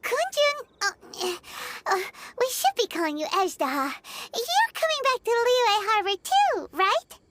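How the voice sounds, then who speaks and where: A woman voicing a tiny, excitable companion creature with a squeaky, childlike, eager voice in a cartoonish game style. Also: measured pace, hardly any pauses, noisy background, heavily acted. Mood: hesitant, hopeful. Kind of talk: cartoonish video game voice acting. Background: game music.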